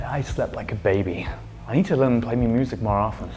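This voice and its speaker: A man's voice: mid-range voice, fast talker, a little mellow, reverberant, close to an everyday voice. A man playing a sarcastic, lazy young sitcom roommate with a dry, sardonic delivery. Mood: dry, unimpressed.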